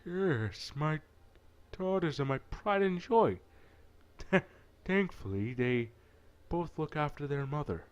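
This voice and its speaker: Proud genial father done by a man, raised strained voice, effusive, animated, visual novel gentleman. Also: slow, pauses often, smooth, dull audio. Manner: strained, put-on high voice, effusive.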